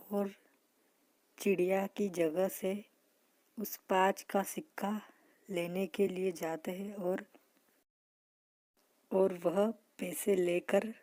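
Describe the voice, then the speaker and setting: A woman's voice: on the low side, mellow, no room sound. A woman narrating a children's story as the storyteller.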